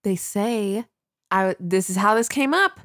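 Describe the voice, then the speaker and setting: A woman's voice: medium pitch, lively intonation, a little slow, fairly bright tone, no echo. A young woman co-hosting a podcast on a studio mic, talking animatedly and laughing often. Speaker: podcast co-host. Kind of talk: casual chat.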